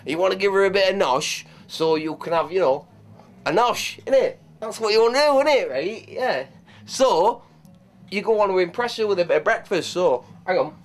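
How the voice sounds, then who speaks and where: A brash, lewd Yorkshire lad of a cook, played by a man, rambling and swearing in a broad Yorkshire accent. His voice sits a little high and swings wildly up and down as he holds forth. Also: lively intonation, moderate pace. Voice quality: swaggering, profane, rambling. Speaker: comic cook character. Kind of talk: improvised comedy sketch.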